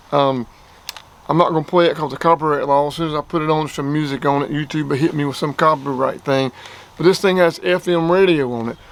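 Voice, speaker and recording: A man with a plain-spoken, folksy way of talking, giving a casual hands-on gear review outdoors. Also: on the high side. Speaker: product reviewer.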